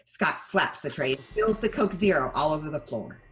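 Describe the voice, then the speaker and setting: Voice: mid-range voice, expressive, normal speed, fairly bright tone, a little hiss or hum. A narrator reading scripted stage directions for a table read.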